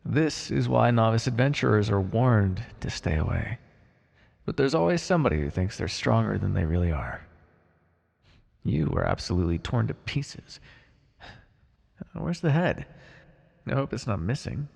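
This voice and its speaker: A man playing a blunt, callous, wryly businesslike necromancer in an ASMR fantasy roleplay, muttering in a deadpan, gravelly voice. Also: on the low side, moderate pace, pauses often, heavily acted. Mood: dry, businesslike. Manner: deadpan, muttering to himself in thought. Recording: long reverberant hall echo.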